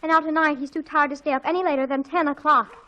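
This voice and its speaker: A woman's voice: sits high, steady tone, fast talker, sharp tone. A woman playing a boy-crazy, easily offended teenage girl in a dramatic, indignant 1940s radio comedy style. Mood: sulky, dramatic.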